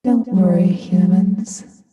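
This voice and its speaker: A woman playing a spirit of nature in a staged play reading, warning humans in solemn, measured phrases, ominous yet loving. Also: mid-range voice, recorded from a distance, muffled recording, lightly acted. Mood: ominous calm. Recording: echoey hall reverb, loud music.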